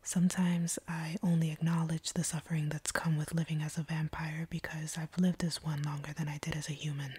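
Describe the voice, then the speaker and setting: A woman playing an ancient vampire captor, stern but softening, in a breathy, husky whisper for an intimate audio roleplay. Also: sits low, flat delivery, no echo. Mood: reluctantly tender, apologetic. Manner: slow, deliberate, hushed.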